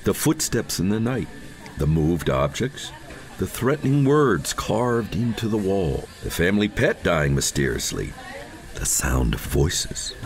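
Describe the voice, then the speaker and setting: A smug, insistent self-styled psychic acted by a man from an audio horror story. His voice sits low but leaps around with theatrical emphasis, condescending in every phrase. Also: heavily acted.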